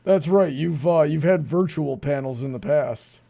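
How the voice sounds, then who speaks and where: A man's voice: a little high, a little slow, creaky, mellow. A man hosting a livestream in a casual, chatty style. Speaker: livestream host.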